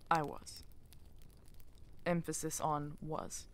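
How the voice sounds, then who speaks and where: A guarded female werewolf, a weary outcast alpha in an audio drama, acted by a woman. Her voice sits low and crackles with fry. She speaks slowly, in short hesitant phrases. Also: little pitch movement. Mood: guarded, confessional. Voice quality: creaky. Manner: slow, hesitant, terse. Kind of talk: ASMR audio drama acting.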